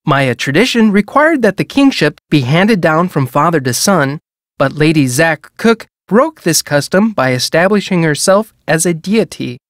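A woman playing a composed museum audio guide, reading educational narration in a measured, formal manner. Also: low-pitched, expressive, dry recording, clean studio sound. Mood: composed, informative.

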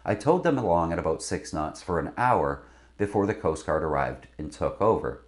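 A man's voice: low voice, expressive, normal speed. A middle-aged man presenting scripted instruction to camera as a sailing video host. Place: indoor studio with branded backdrop. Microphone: lapel mic.

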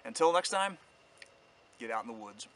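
A man's voice: medium pitch, animated, normal speed, fairly bright tone. A man in his fifties talking casually to camera as an outdoor channel host, plainspoken and self-deprecating. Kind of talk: casual vlog talk to camera. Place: outdoors in woods. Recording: clear outdoor ambient noise.

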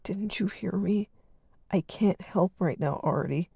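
A storyteller voicing a stressed teenage older brother who snaps and yells harshly. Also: medium pitch, unhurried, vocal fry, husky, no echo. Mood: curt, tense. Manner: harsh, curt, yelling.

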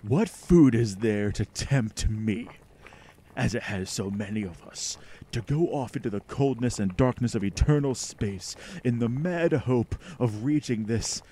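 Casting: male actor for arrogant, menacing alien being, radio horror, deep mellow voice, pompous delivery, faint noise behind. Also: animated, lightly acted, rough recording. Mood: hungry, menacing. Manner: slow, pompous, contemptuous declamation. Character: ancient, arrogant, menacing alien mind from a meteor. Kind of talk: retro radio drama acting.

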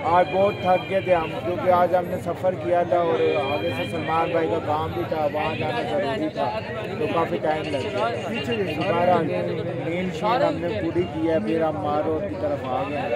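A young man talks into a phone held at arm's length as he strolls a night market. His voice sits a little high and chatty. A thick crowd hum fills the street behind him. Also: little pitch movement, moderate pace. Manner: chatty, calls out to his friend while walking. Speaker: vlogger. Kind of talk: casual walking vlog. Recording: busy crowd noise behind. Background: crowd chatter and traffic.

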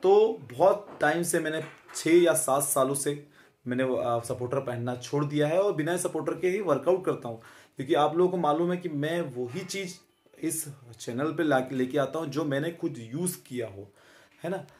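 A man in his thirties giving a casual fitness talk straight to camera, chatty and friendly, often pausing with filler sounds and tag questions, recorded on a phone held close in a small room. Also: on the high side, darkish tone. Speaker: fitness vlogger.

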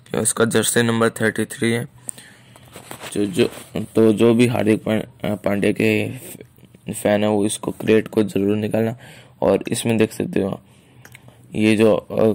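An Indian man hosts a casual gaming showcase in a very flat, monotone delivery. His voice sits fairly low with a creaky edge, and he tends to repeat phrases as he goes. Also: no echo. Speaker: gaming video creator and host. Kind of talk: casual game showcase commentary.

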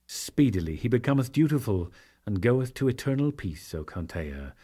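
A man performing a solemn divine lord in a dramatic scripture reading, declaiming in measured phrases with deliberate pauses. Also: mid-range voice, big pitch swings, warm tone, slightly rough. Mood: solemn, exalted. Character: Krishna, solemn divine lord teaching a devotee.

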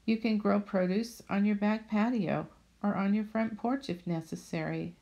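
A woman narrating a voice-over about her home garden in a steady, plainly read manner. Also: mid-range voice, normal speed. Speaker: budget vlogger.

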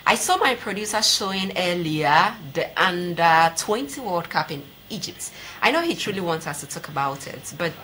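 A woman's voice: fairly low pitch, lively intonation, moderate pace, few pauses, sharp tone, a little hiss or hum, poor audio. Ghanaian woman interviewer with direct manner. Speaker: TV host, interviewer. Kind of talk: conversational sports interview. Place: TV studio.

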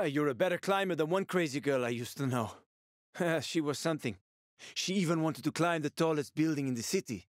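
A sly middle-aged smuggler from a video game, played by a male actor, with a mid-pitched man's voice in a very dry recording. He talks casually and teasingly, like a streetwise survivor who always has a wisecrack ready. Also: no room sound, lightly acted. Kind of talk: video game voice acting, conversational.

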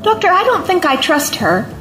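Woman as wry questioning companion, outspoken, audio drama, roomy echo, clear music behind. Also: very high-pitched, big pitch swings, moderate pace. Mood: uneasy, insistent. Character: sceptical, outspoken young woman, time traveller's companion.

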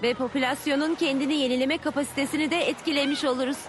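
A woman's voice: high voice, steady tone, very fast, music in the background, natural voice. A woman voicing a confident young pupil explaining ideas in a dubbed educational cartoon.

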